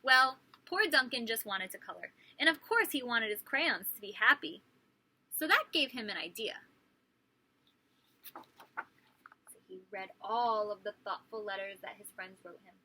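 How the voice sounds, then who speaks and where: A woman teacher reading a story aloud to young children, animated and asking them questions. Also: on the high side, a little slow, pauses often, slight background noise, rough recording. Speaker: pre-kindergarten teacher.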